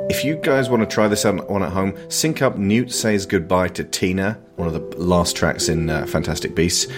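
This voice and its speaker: A man with a notably deep voice talks casually about films as a podcast host. He is opinionated and follows his own tangents, with music faintly audible behind him. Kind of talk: casual film discussion.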